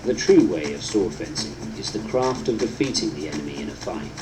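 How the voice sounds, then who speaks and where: A man reading a book aloud as scripted narration, in a solemn, deliberate, instructive manner. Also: sits low, animated, poor audio. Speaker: audiobook narrator. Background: prominent instrumental music.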